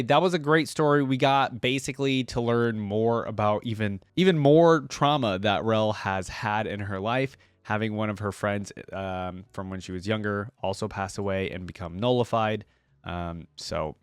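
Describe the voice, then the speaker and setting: A man's voice: fairly low pitch, clean tone, no echo, clean studio sound. A man hosting a reaction video, reading a fantasy story aloud and adding casual commentary.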